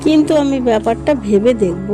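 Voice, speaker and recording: A woman's voice: on the high side, expressive, brisk, close to an everyday voice. Woman acting modest young village daughter over music. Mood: modest, hesitant. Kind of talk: cartoon voice acting. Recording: loud music under the voice.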